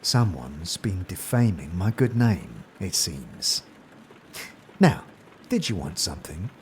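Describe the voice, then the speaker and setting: An actor playing an irritable, curt and condescending stooped printer in a fantasy audio drama. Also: male voice, sits low, very animated, takes it slowly, slight background noise, full character voice. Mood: cold, scornful. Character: irritable tight-lipped stooped printer of uncertain age.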